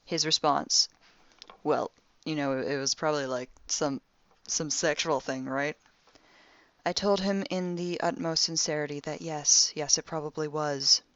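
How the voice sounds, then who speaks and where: A dull, close recording with very little room sound carries a young crewwoman's personal log from a sci-fi audio drama, acted by a woman. Her voice sits low. She is wry and sardonic, delivering her exasperation in a deadpan, conversational way. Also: a little slow, dry recording. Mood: wry, amused.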